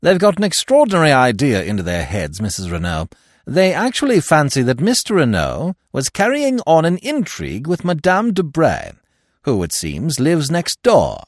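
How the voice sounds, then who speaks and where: A man reading an audiobook, voicing an impulsive, frank gentleman who breaks in bluntly. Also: on the high side, huge pitch swings, normal speed, no echo, clean studio sound, pitched above the performer's own voice, full character voice. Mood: impetuous. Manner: impetuous, blunt.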